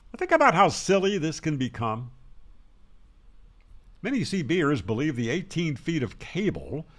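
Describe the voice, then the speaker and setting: An older man, in his sixties or beyond, presenting a conversational tutorial in a plain-spoken, emphatic way, pausing to stress key words, close on a microphone in a small home room. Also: medium pitch, fairly bright tone. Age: 60s or older. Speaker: presenter of a ham radio channel. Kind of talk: explanatory tutorial to camera, conversational. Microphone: large broadcast microphone close up on a boom.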